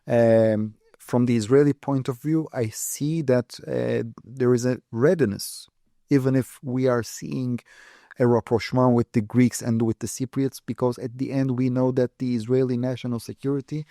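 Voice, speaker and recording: A man's voice: mid-range voice, fairly even intonation, normal speed, vocal fry, warm tone, no echo, studio quality. A man from Turkey with a Turkish accent, an expert guest giving long analytical answers in a podcast interview, with many fillers. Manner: talks at length with many uh and you know fillers.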